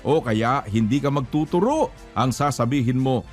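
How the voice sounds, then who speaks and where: A man's voice: medium pitch, animated, moderate pace, darkish tone, faint music behind. A man preaching in an admonishing, emphatic way, asking rhetorical questions and quoting scripture. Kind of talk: religious exhortation with rhetorical questions and scripture quotes.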